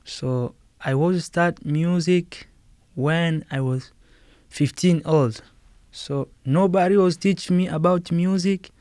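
A man with a Congolese accent answering interview questions in halting, non-native English, often repeating words and laughing. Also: fairly high pitch, a little mellow, no room sound, studio quality. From Democratic Republic of Congo. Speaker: guest musician.

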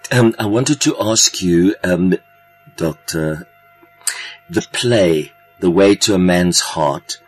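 Deep, slow-speaking man, effusive. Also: breathy edge, faint music behind, low-quality recording. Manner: effusive, affirming, halting phrasing. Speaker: interview host. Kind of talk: conversational interviewing.

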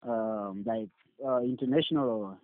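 A man's voice: fairly low pitch, moderate pace, dry recording. A young man from Zimbabwe, a rapper and producer, answering casually in a laid-back, mumbling way and swearing now and then. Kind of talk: casual interview. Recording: tinny phone-like audio.

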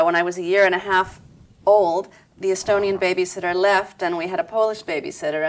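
A woman answering interview questions in a measured, reflective way, with soft "um" fillers. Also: fairly low pitch. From United States. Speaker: interview guest.

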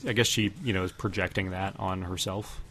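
Male podcast host, deep voice, halting casual discussion, some background noise. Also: steady tone, a bit bright.

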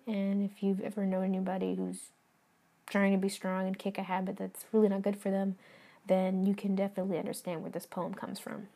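A woman with a hoarse voice talks casually on her podcast and reads her own poem. Her pitch stays level and her tone is mellow and dark. She speaks softly, pausing often and restarting her sentences. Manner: soft, halting, with many fillers and restarts. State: hoarse from singing.

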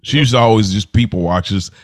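A man co-hosting a TV review podcast in relaxed, laid-back casual chat. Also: lowish voice, fast. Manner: laid-back, laughs often. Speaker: podcast co-host.

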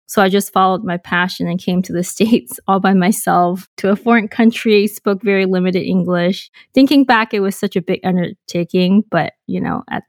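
Young woman from hong kong chatting as a podcast guest, bit of vocal fry, dry close sound. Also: medium pitch, normal speed, hardly any pauses, no room sound. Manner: reflective, frequent "um" and "you know", light laughs. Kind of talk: casual interview storytelling.

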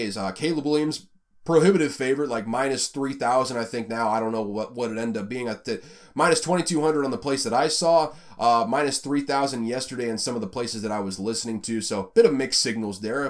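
A man with a Southern American accent hosting a casual college football rundown, rambling and conversational with plenty of fillers. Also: medium pitch. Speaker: college football podcast host. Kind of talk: casual sports commentary.

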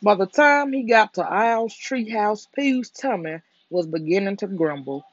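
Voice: mid-range voice, lively intonation, unhurried, no echo. Soothing bedtime storyteller, dry muffled recording. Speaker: storyteller reading a children's book aloud.

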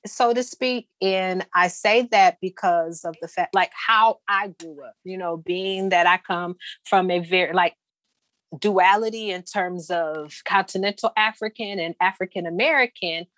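A woman from Detroit, an urban planner telling lively stories as a guest in a casual conversation. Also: lowish voice, measured pace, dry recording. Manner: lively storytelling, many fillers.

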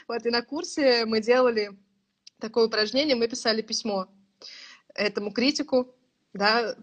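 A woman's voice: a little high, normal speed. A woman in her thirties, a writing course teacher, explaining at length in casual, thoughtful conversation and laughing now and then. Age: 30s.

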